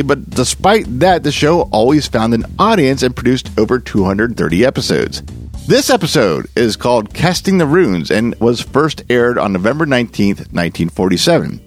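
A man hosting a podcast, reading a scripted introduction. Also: medium pitch. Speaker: podcast host. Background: faint music.

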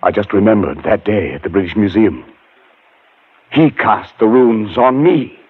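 An actor playing a learned, fearful gentleman under a curse, narrating gravely and deliberately in 1940s radio horror style. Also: fairly low pitch. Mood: alarmed, urgent. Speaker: narrator and lead character. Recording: muffled 1940s radio broadcast recording, faint music.

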